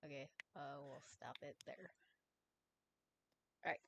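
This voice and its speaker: A woman's voice: very deep voice, takes it slowly, fairly bright tone, a little hiss or hum. A woman co-reading a fanfic on a live stream, chatting casually with swearing and restarts, on a close desk mic.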